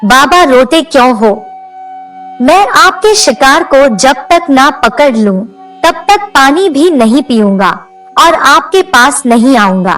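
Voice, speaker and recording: A woman's voice: sits high, lively intonation, slow pace, fairly bright tone, distant mic, faint music behind, heavily acted, low-quality recording. A woman playing a simple, earnest, determined male hunter in a devotional storybook tale. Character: simple, eager, devoted forest hunter, a man.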